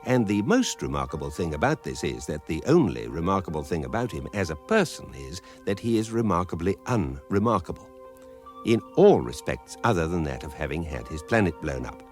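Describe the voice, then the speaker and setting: A British man playing a wry, erudite guidebook narrator in a comic radio drama, measured and dryly emphatic. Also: sits low, big pitch swings, faint music behind. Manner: measured, dry, precisely emphatic.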